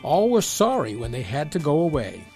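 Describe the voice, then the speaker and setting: Animated, higher-pitched man telling stories. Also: moderate pace, faint music behind. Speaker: storyteller. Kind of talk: scripted children's story narration.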